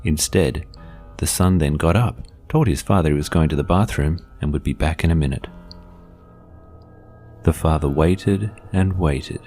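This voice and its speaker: A man narrates a scripted horror story in a measured, deliberate, dramatic storytelling manner. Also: a bass voice, warm tone, faint music behind.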